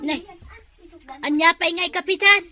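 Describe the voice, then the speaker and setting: An Ilocano woman trading lively banter in a comedy skit. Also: very high-pitched, normal speed, some chatter behind.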